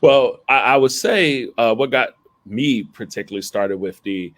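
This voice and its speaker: A man's voice: mid-range voice, moderate pace. A man who is an Episcopal priest, raised in Philadelphia, answering interview questions in an earnest, storytelling way with small laughs, over a video call. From Philadelphia, family from Barbados.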